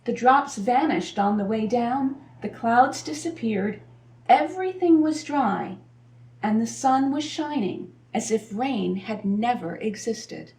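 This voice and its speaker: A woman reads a children's picture book aloud expressively, with deliberate, dramatic phrasing and pauses for emphasis. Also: a little high, lively intonation, darkish tone. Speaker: storybook reader. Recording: roomy echo, distant microphone.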